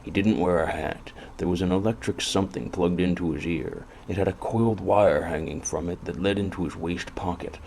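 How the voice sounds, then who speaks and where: Man acting a very deep-voiced, gloomy hard-boiled detective. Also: moderate pace, gravelly, few pauses, a little hiss or hum. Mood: brooding, deadpan. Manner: deadpan, brooding, world-weary. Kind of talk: hard-boiled noir detective narration and dialogue.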